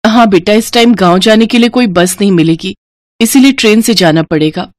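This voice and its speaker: Gentle, anxious young mother , voiced by a woman. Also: medium pitch, fairly quick, dry recording, close to an everyday voice. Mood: gentle urging. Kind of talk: cartoon story voice acting.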